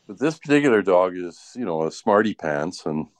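Casual male talker for a chat show: low voice, dry sound, rambling anecdotal delivery. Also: very animated, a little fast, no room sound. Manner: rambling, often tags sentences with "right?".

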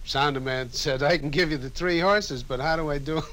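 An older man reminiscing in a halting, anecdotal way, as an interviewed radio veteran. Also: on the high side, a bit bright. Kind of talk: spoken reminiscence.

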